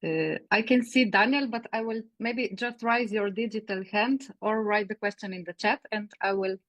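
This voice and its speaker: A woman moderating an online question session, speaking in a friendly, halting way with fillers. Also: medium pitch, no room sound. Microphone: video call.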